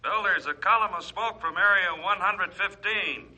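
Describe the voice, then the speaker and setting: A man playing a dutiful fire lookout reporting over the radio in an adventure drama, brisk and deferential. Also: sits high, sharp tone, exaggerated voice. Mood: alert.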